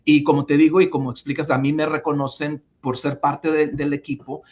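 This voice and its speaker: A man from Mexico in his forties, giving long, measured interview answers full of fillers and restarts. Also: a little high, little pitch movement, a little mellow. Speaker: interview guest.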